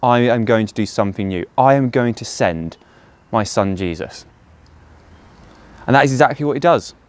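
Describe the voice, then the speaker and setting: A man's voice: medium pitch, normal speed, dry recording. A man giving a short devotional talk as a church speaker, explaining earnestly and posing rhetorical questions. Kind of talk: short sermon talk to camera or listeners.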